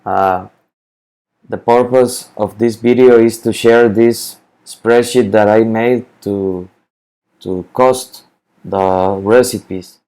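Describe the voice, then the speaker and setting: A man talks through a software tutorial at an unhurried pace, stumbling and repeating words as he searches for them. His voice is low and mellow. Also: fairly even intonation, clear, resonant tone, rough recording. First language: Spanish. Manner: halting, repeats words, many fillers. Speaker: spreadsheet tutorial maker. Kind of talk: screen-recorded software walkthrough.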